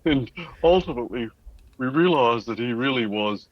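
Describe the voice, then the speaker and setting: A man's voice: mid-range voice, animated, moderate pace, no echo, highs cut off. An older man telling stories as an interview guest, reminiscing in a casual conversational way. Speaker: interview guest, loudspeaker designer.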